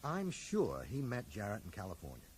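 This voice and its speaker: An actor playing a level-headed, earnest bookshop owner in a radio drama, explaining things in a measured way. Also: male voice, fairly low pitch, huge pitch swings. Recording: mild hiss, 1980s radio drama.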